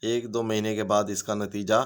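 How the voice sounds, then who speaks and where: A man's voice: on the low side, flat delivery, moderate pace, clear, resonant tone. A man, steadily reading out a list of home remedy tips as a channel host.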